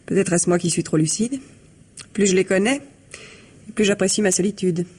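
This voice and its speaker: An actress plays a self-possessed female secretary in a radio mystery. Her voice is husky. She is cool and guarded, answering curtly and with open defiance. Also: medium pitch, normal speed, darkish tone, natural voice. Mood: cool, defiant. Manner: curt, self-possessed, parrying questions. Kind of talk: French radio mystery drama acting.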